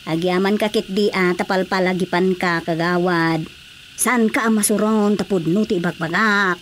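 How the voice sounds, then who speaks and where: A woman's voice: medium pitch, fairly even intonation, normal speed, natural voice. A woman playing an outspoken, nagging but concerned village woman in radio drama comedy, with a northern Philippine accent. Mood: scolding. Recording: faint cricket-like background noise.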